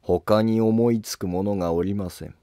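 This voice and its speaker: Man as low-voiced, resolute noble conspirator. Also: fairly even intonation, warm tone, no room sound, clean studio sound, natural voice. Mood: resolute, secretive. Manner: formal, deliberate. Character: calculating male court noble plotting, distrustful and resolute. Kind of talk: scripted historical drama dialogue.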